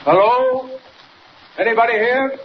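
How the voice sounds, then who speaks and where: An American actor playing a cautious, plain-spoken local police chief in a 1940s radio serial. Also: male voice, extremely high pitch, measured pace. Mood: puzzled. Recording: muffled 1940s radio recording, faint noise.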